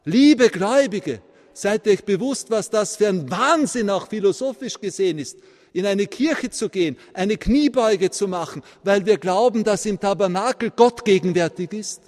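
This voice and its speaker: A Viennese man preaches with animated emphasis, often checking in with his listeners. His voice sits fairly high for a man and rings out in a long church echo. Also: normal speed. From Vienna, Austria. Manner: animated preaching, rising to emphatic peaks, tag questions like "ja?". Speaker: priest. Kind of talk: sermon.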